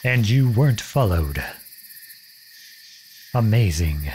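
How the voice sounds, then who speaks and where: Man playing a low-voiced, earnest outlaw prince. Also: lively intonation, slow pace, darkish tone, slight background noise. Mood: relieved, reflective. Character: earnest, protective young outlawed prince leading a band. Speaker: audio roleplay performer. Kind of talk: ASMR roleplay acting.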